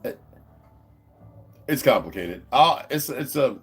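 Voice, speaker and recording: A man's voice: lively intonation, slow, breathy edge. Rambling man vlogging at home. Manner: meandering, restarts, talks to his dogs in a high coaxing voice. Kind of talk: rambling casual vlog to viewers. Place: indoors at home.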